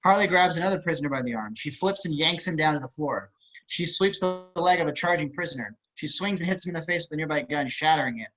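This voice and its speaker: A man's voice: on the high side, lively intonation, fast, a bit bright, faint music behind. A man narrating stage directions at an online table read, with wry, casual asides. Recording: dull video-call audio.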